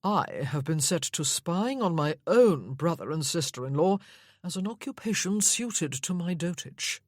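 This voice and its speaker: A woman's voice: lowish voice, very animated, measured pace, a little mellow, slightly rough, no echo, exaggerated voice. A woman voicing a haughty young duke who drawls in a bored, dry manner. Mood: languid. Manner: haughty, languid.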